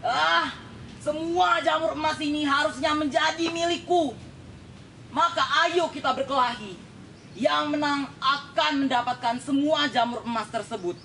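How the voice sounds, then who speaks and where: Storytelling role: jealous young prince, confrontational and declamatory, high-pitched played by a young woman, faint noise. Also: measured pace, bright, forceful tone, heavily acted. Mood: greedy, challenging. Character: jealous, accusing young prince spoiling for a fight.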